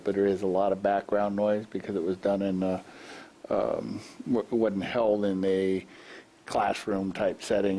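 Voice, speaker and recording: A man's voice: lowish voice, dark tone. A man at a council meeting speaking informally and rambling, with frequent hesitations. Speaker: council member. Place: council chamber.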